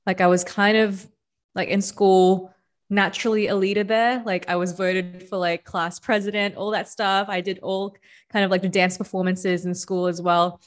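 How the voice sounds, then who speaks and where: A young woman from the Philippines, a podcast guest, telling her own story in a relaxed, reflective way with many fillers. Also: mid-range voice, normal speed. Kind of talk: casual interview storytelling.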